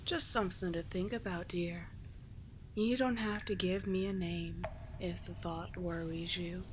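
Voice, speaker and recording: Sea witch, played by a woman: lowish, slow, soothing and courtly, gently teasing, ASMR roleplay with noisy ambience behind. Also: steady tone, lightly acted. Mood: gentle, teasing. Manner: soothing, formal endearments, unhurried. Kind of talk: scripted ASMR roleplay monologue.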